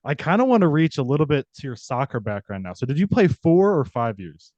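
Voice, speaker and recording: A man from Missouri hosting a podcast, asking relaxed questions and answering with short affirmations. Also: on the high side, brisk, no echo. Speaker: podcast host. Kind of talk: casual interview chat. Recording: dry, slightly dull mic.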